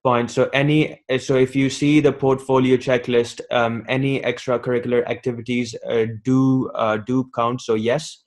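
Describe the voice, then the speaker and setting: A man's voice: mid-range voice, little pitch movement, moderate pace, few pauses. A man on a webinar panel, giving casual advice as a doctor with frequent ums and restarts over a video call. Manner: hesitant, many ums and restarts.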